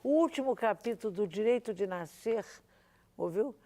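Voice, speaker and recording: A woman's voice: mid-range voice, animated, moderate pace, darkish tone. An older woman, a radio drama veteran, reminiscing emphatically and seeking agreement. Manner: emphatic, repeats points, seeks agreement. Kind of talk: reminiscing in conversation.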